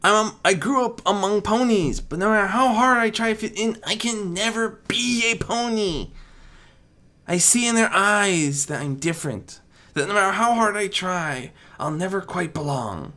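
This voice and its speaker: A man voicing an insecure, hot-tempered young dragon in an audiobook story, with a high, strained voice and plaintive outbursts. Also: moderate pace, bright, forceful tone. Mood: bitter, hurt.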